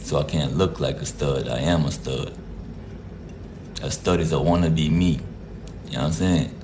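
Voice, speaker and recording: A man's voice: very deep voice, moderate pace, noisy background. A man from Jamaica hosting a casual live stream, greeting viewers by name in a laid-back, repetitive way. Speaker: livestream host.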